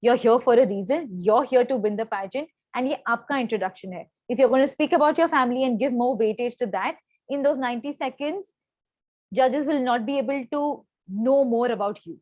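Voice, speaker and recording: A woman's voice: fairly high pitch, a little fast, darkish tone, dry recording. A young woman with an Indian accent, coaching pageant contestants in an instructional talk and mixing Hindi phrases into her English. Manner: switches into Hindi phrases mid-sentence; clear, coaching tone with frequent "right?" checks. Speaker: pageant trainer.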